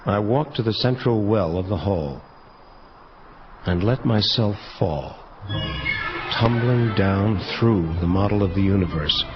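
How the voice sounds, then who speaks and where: An actor playing an angel detective who recounts his story in measured, reflective first-person narration. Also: fairly low pitch, lightly acted. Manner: measured, descriptive. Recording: slightly dull sound with music underneath.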